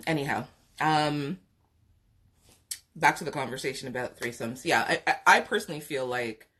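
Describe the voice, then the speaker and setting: A woman's voice: extremely low pitch, moderate pace, fairly bright tone, slightly rough. A woman with a Jamaican accent hosting a live podcast chat, giving frank, opinionated advice with lots of fillers. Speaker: podcast host. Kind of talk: live chat talk show, reading viewer comments.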